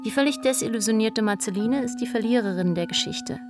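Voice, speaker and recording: A woman's voice: on the high side, brisk. A woman reading a scripted synopsis as a storytelling narrator. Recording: clear music behind the voice. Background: instrumental music.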